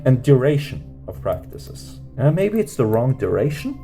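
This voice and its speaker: A man teaching meditation off the cuff, rambling with many fillers and restarts and lifting his pitch at the end of questions. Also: very animated, normal speed, gravelly, warm tone. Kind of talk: unscripted teaching talk. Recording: music playing under voice. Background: guitar music.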